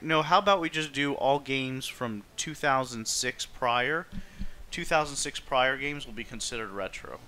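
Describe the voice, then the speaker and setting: A man's voice: mid-range voice, bright, forceful tone. A man streaming a game, chatting casually and informally, rambling a little as he thinks aloud. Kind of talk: casual livestream chat.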